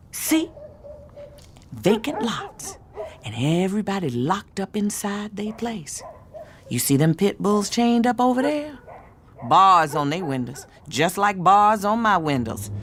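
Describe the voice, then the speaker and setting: A woman playing a hard, jaded, domineering middle-aged American aunt in an audio drama, scolding with forceful emphasis. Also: medium pitch, slight background noise, heavily acted. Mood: menacing. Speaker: actress. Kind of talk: naturalistic audio drama acting.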